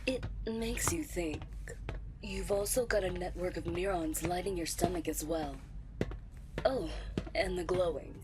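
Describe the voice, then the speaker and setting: A woman playing a chatty, curious alien scientist in a sci-fi audio drama, talking in a breathy, fascinated gush. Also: on the low side, measured pace, lightly acted. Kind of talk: science fiction audio roleplay acting. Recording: clear background ambience noise.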